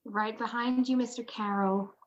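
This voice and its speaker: An actress playing a detective's playful, quick-thinking wife, arch and genteel, in an old-time radio drama style. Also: female voice, on the high side, fairly even intonation, heavy background noise, close to an everyday voice. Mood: playful, pleased. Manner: arch, genteel, matter-of-fact. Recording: dull, lo-fi video call recording.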